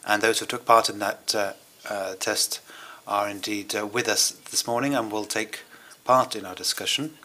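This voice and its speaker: A man interpreting a German speech into English at a conference, with measured, hesitant phrasing and pauses. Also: on the low side, steady tone, pushed and bright.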